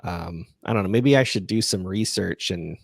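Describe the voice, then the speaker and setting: A man hosting a podcast livestream, chatting casually and answering listener questions in a halting, self-effacing way. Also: low voice, creaky, no echo, clean studio sound. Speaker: podcast creator and livestream host.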